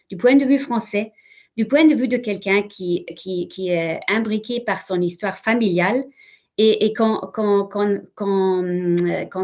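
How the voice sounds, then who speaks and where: A woman's voice: mid-range voice, dull audio. Hesitant woman speaking French as a second language. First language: not French. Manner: hesitant, many fillers and restarts. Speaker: museum representative on a panel. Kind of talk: conference talk, spoken off the cuff.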